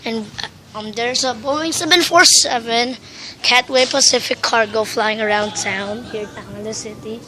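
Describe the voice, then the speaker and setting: A woman with a Filipino accent talks through a casual vlog in a rambling, excitable way, thinking out loud as she films outdoors on a handheld phone. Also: fairly high pitch, moderate pace, noisy background. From Philippines. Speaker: vlogger.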